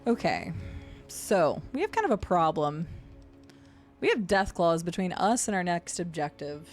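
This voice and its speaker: Chatty young woman gaming on stream, deep fry in her voice, swears and laughs, kinda low pitched. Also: sing-song, unhurried. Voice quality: gravelly with deep vocal fry. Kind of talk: casual live-stream chat while gaming. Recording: faint game music behind.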